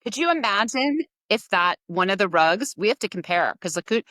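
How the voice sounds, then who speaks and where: A woman's voice: medium pitch, lively intonation, a little fast, sharp tone, no echo. A woman hosting a podcast interview, asking enthusiastic, rambling questions. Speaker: podcast host. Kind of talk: conversational interview.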